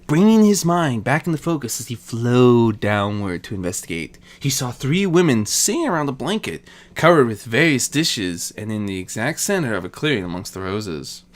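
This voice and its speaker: A reader narrating a fan fiction story with expressive delivery. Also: mid-range voice. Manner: expressive, wide-ranging delivery.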